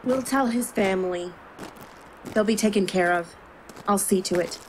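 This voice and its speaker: A woman's voice: mid-range voice, normal speed, slight background noise, close to an everyday voice, poor audio. A woman playing an earnest, burdened young royal in an audio drama. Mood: reassuring.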